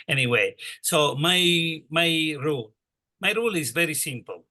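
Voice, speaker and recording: A man from Italy with an Italian accent, speaking as an on-site program coordinator in a halting, friendly way with many fillers. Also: fairly high pitch, lively intonation, pushed and bright. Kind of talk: casual info session talk.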